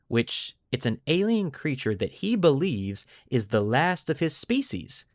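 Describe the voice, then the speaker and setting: A man's voice: mid-range voice, no echo, studio quality. A man hosting a podcast, explaining lore to friends in an informal, enthusiastic way. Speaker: podcast host.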